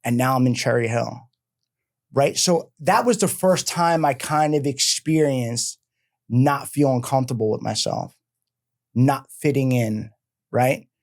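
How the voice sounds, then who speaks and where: Energetic Philly man telling his story. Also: medium pitch, a little slow. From Philadelphia. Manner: energetic, rambling, filler-heavy. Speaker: guest. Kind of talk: casual interview talk. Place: podcast studio.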